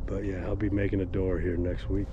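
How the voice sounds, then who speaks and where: A man's voice: low voice, level tone, a little fast, dull audio, noisy background. Upbeat man vlogging outdoors on a selfie stick. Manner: rambling, upbeat, self-correcting. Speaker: landscaper vlogging a garden build. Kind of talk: casual walk-and-talk vlog. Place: suburban backyard outdoors. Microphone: action camera on a selfie stick.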